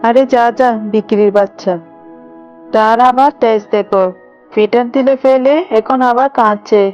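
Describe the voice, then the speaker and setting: High-pitched scolding cartoon tigress aunty voiced by a woman. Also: fairly even intonation, a little slow, close to an everyday voice. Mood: scolding, irritated. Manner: scolding, grumbling. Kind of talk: children's cartoon voice acting. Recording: dull lo-fi audio, music behind. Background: background music.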